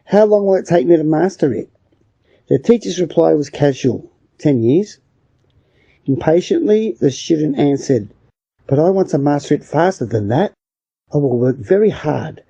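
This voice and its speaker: Mellow, gravelly man reading aloud, muffled recording. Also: a little high, dry recording. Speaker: reader of English lesson passages. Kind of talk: scripted narration, read aloud.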